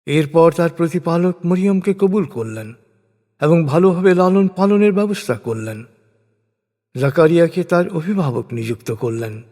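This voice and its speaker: Male narrator, slightly high-pitched, for solemn religious text; measured, reverent phrasing with long reverb. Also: animated, dark tone. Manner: measured, reverent, with deliberate pauses between phrases. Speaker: narrator of a scripture translation. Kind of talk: solemn scripted religious recitation in prose. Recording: long reverberant hall-like echo.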